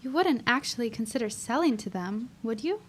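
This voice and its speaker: A woman's voice: a little high, lively intonation, fairly quick. A woman playing an eager, inquisitive and loyal neighbour in a period audio drama, with earnest, old-fashioned phrasing. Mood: concerned.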